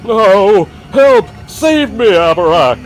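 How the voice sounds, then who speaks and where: A man's voice: high-pitched, lively intonation, slow pace, voice pushed up. A man voicing a paranoid, fearful king. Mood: terrified. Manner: frantic cries and anxious muttering. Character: paranoid middle-aged king, fearful and ruthless.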